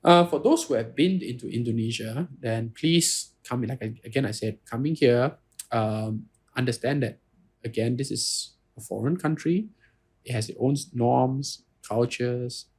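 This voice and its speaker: A man's voice: mid-range voice, unhurried, darkish tone, slightly rough. A man with a Singaporean English accent, answering interview questions earnestly, restarting phrases and often saying "you know". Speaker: guest lawyer.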